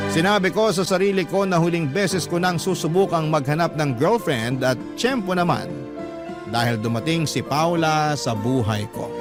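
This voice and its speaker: A man's voice: a little high, close to an everyday voice. A man with a Filipino accent playing a shy, awkward letter writer in his late twenties, narrating a radio love story in a confiding way. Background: music.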